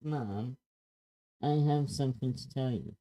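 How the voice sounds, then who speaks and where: An actor as timid creature schoolgirl, very muted dark tone, hesitant, earnest, screenplay read. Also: mid-range voice, measured pace, close to an everyday voice, rough recording. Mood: pleading.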